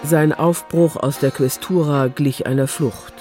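A man's voice: a little high, fairly even intonation, unhurried, a little mellow, soft background music. A man reading scripted literary narration as the narrator of a radio drama.

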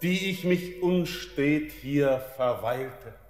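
A dreamy young miner calling out slow, incantatory verse in a raised voice. His words ring through a long, echoing hall, with music beneath them. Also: a little high. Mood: entranced.

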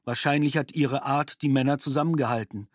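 A sober male court reporter, played by an actor in a documentary radio play. He relays witness statements in clipped, factual phrases. The voice sits at a middle pitch in a very dry studio sound. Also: steady tone, brisk, dry recording, close to an everyday voice. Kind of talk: documentary radio play, read trial protocol.

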